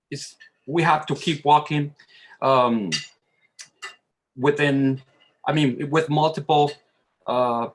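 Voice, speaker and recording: Slow-speaking man. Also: fairly high pitch, fairly bright tone. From Dominican Republic. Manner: halting, searching for words. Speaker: panelist. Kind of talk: earnest roundtable statement.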